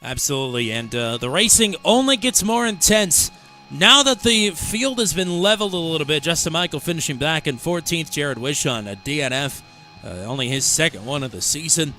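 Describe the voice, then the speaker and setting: A man's voice: fairly high pitch, animated, fairly quick, sharp tone, faint music behind. A man hosting racing commentary, upbeat, while sick and losing his voice. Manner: upbeat, rattles off schedule. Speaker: race commentator host. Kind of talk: sim racing broadcast commentary.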